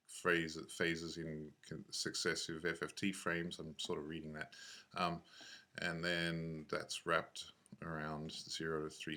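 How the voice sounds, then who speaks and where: Male tutorial narrator, deep and monotone. Casual, unscripted, halting, works things out aloud. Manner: halting, thinking aloud, self-correcting. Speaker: software tutorial instructor.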